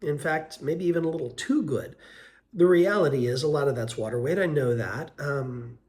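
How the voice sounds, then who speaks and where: A man in his fifties, hoarse from a cold, giving an earnest, unhurried vlog update with frequent ums and uhs. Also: on the high side, a little mellow. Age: 50s. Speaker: vlogger. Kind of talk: casual weight-loss update vlog.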